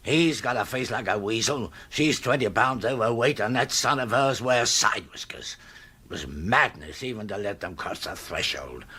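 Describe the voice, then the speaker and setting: An actor playing an exasperated older upper-class English uncle in a radio comedy, grumbling and agitated, with a husky voice. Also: male voice, on the low side, lively intonation, normal speed, sharp tone, heavily acted. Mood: agitated, indignant. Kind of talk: radio comedy drama acting.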